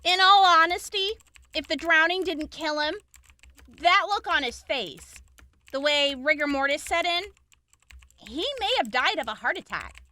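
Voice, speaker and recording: A woman acting a brisk, clinical young examiner in a post-apocalyptic audio drama, explaining gory details frankly. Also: sits high, pushed and bright. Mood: clinical, focused.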